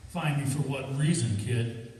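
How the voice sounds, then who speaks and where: A man's voice: mid-range voice, a little slow, a little mellow, close to an everyday voice. Man acting a menacing crook, far off in echoey hall. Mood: cold, threatening. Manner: sardonic, slangy tough talk. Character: menacing small-time crook, suspicious and cold. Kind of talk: old-time radio crime drama acting. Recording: echoey hall, distant mic, faint noise.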